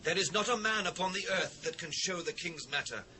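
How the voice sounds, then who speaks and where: A deferential British court astrologer declaims formally in an audio scripture drama. His voice is rather high and sharp-edged, with faint noise from an old cassette behind it. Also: expressive, brisk, exaggerated voice. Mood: protesting. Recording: noisy old cassette transfer.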